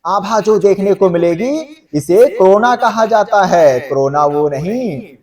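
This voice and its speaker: A male coaching teacher with a high-pitched voice, picked up at some distance from the microphone. He explains in a conversational lecture style, addressing students directly and repeating little check phrases as he goes. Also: a little slow, a little mellow. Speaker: exam-coaching geography teacher.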